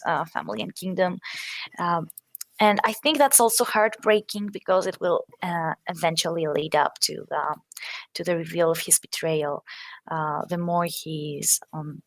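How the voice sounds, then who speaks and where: A woman's voice: on the low side, no echo. A woman co-hosting a fan podcast, thoughtful and hesitant, with many ums and uhs. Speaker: podcast co-host. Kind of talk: casual fan podcast chat.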